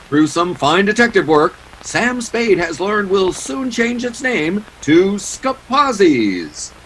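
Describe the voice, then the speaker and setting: Man playing an animated 1940s radio announcer. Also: sits high, a little hiss or hum, heavily acted, poor audio. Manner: polished, showy salesmanship. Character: polished, showy 1940s male radio announcer. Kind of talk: 1940s radio sponsor read and sign-off.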